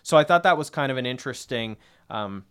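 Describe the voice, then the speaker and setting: A man's voice: mid-range voice, expressive, a little fast, a bit bright, clear, resonant tone. A young man co-hosting a discussion podcast, explaining at length in a rambling way with lots of fillers and asides. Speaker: podcast co-host.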